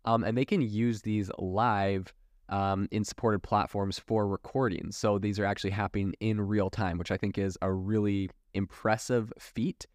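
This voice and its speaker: A man's voice: on the low side, steady tone, moderate pace, no room sound, studio quality. A man hosting a solo tech podcast, giving informal news commentary peppered with "you know" and "like". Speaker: podcast host.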